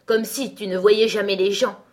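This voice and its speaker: A woman's voice: fairly high pitch, animated, moderate pace, pitched above the performer's own voice, lightly acted. Woman voicing a proud, bossy talking tiger lily. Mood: furious, scolding. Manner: commanding, scolding. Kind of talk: children's storybook reading.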